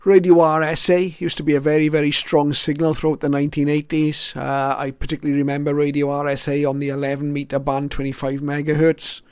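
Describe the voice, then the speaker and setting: A man's voice: fairly high pitch, creaky, a little mellow, clear, resonant tone, no room sound, clean studio sound. A middle-aged or older man giving a casual show-and-tell narration as a hobbyist, hesitant and reflective as he goes through items one by one. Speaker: shortwave radio hobbyist presenting his collection.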